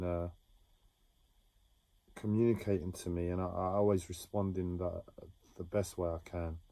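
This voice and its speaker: A man talking slowly and haltingly about his own experiences in a reflective monologue, with many pauses and restarts. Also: low voice, mellow, no echo. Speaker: livestreamer sharing a personal story. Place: home.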